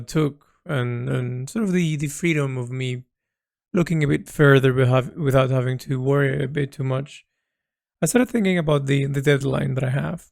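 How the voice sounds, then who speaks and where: A man talks through a creative audio log in a mid-pitched voice with noticeable fry. The delivery is thoughtful and halting, circling back over words and letting phrases trail. The sound is close and dry, with very little room around it. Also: no echo. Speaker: artist recording an audio log about their creative project. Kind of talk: reflective solo audio diary.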